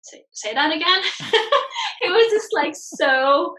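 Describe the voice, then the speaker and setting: A woman from Bali, Indonesia, in her thirties, telling her story as a guest in a casual podcast interview. She talks in an animated way and laughs often. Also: very high voice, takes it slowly, a little hiss or hum, rough recording. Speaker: guest, branding expert.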